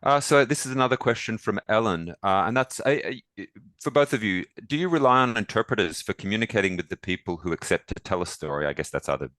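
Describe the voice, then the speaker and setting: A man hosting an online question session in a polite, informal, slightly halting way. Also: fairly low pitch, expressive, a bit bright, no echo. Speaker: host, moderator. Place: online video call.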